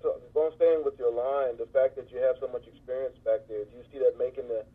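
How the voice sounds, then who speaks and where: Heard through a narrow telephone line, a male reporter with an American accent asks questions on a call. He talks very quickly in a raspy, medium-pitched voice. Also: steady tone. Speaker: newspaper reporter. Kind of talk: asking questions on a conference call.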